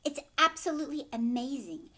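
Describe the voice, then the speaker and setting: A woman voicing an excitable, proud male candy inventor with grandiose, emphatic delivery, as in a storybook reading. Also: high-pitched, fairly quick. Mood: enthusiastic.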